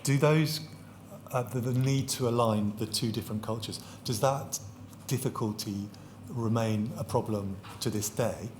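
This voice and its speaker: A man, questioning a witness at a public inquiry in a measured, precise and courteous way. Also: mid-range voice, darkish tone, a little hiss or hum. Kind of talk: formal questioning. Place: large public hearing hall.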